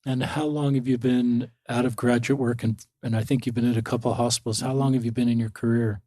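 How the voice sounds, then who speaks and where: Male podcast host, mid pitch, encouraging interviewer, dry close sound. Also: level tone, fast, dark tone, no echo. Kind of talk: interview questions.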